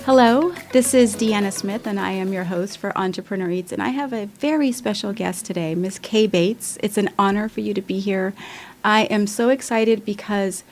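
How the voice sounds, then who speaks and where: A woman's voice: mid-range voice, moderate pace, soft background music. A woman hosting a podcast, who introduces her guest with lavish praise. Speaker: podcast host.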